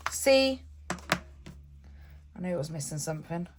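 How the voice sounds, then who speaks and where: A woman's voice: on the low side, expressive, takes it slowly, very noisy. A woman hosting a craft vlog, chatting and thinking aloud as she works, a bit stuffy with a cold. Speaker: craft channel host. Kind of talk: casual crafting vlog talk.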